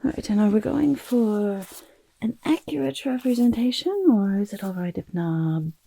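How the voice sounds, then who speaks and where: A woman voicing a chatty, eager little goblin portrait artist in an ASMR roleplay, half-whispering with a raspy, breathy, fussy and coaxing delivery. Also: mid-range voice, lively intonation, normal speed, warm tone. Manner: speaks in third person, hesitant, fussy, coaxing.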